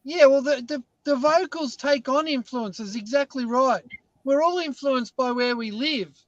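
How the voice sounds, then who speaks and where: A man's voice: very high voice, measured pace, a bit bright, no room sound, muffled recording. A man with Scottish family roots and a mixed British Isles accent, chatting casually as a guest and rambling in a self-deprecating way. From Scotland. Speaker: guest caller. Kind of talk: casual chat.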